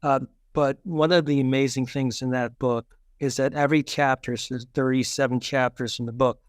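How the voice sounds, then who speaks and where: A middle-aged man talking casually as an interview guest, halting and rambling as he explains. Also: mid-range voice, fairly even intonation, clear, resonant tone, no echo, studio quality. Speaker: guest author.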